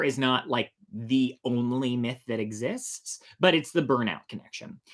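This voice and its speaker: Animated, chatty man hosting a story show. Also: medium pitch. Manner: chatty, enthusiastic, laughs often. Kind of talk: animated storytelling and casual commentary.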